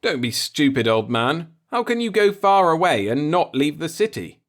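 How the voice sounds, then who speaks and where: An earnest human space traveler, played by a male narrator, talks in a raised, lively pitch. He explains and persuades with insistence and some impatience, in science fiction storybook fashion. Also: normal speed. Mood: exasperated.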